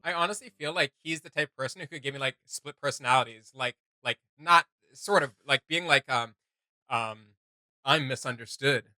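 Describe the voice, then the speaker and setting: A man's voice: medium pitch, normal speed, bright, forceful tone, dry recording. A man co-hosting a reaction video, chatting informally and emphatically as he thinks aloud. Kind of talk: casual reaction commentary.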